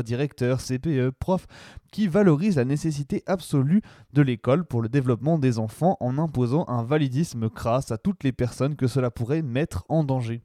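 Sardonic man, mellow and close-miked. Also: mid-range voice, dry recording. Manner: sardonic, colloquial. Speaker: radio show presenter. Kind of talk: scripted opinion commentary, informal and slangy.

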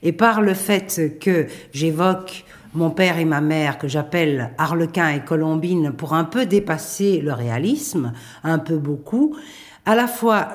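A middle-aged woman, speaking thoughtfully in a radio interview, pausing and restarting often. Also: low voice. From Belgium. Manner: thoughtful, hesitant with many pauses and restarts. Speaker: playwright and performer.

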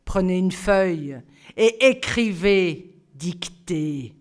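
A woman's voice: fairly low pitch, lively intonation, drawn-out words. A woman voicing a stiff, stern male French teacher who gives cold, clipped orders.

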